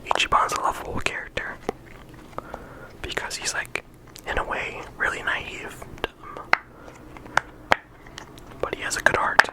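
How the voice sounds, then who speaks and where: An ASMR creator giving a whispered, unhurried character discussion, often pausing and restarting mid-sentence. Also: poor audio. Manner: unvoiced whisper throughout.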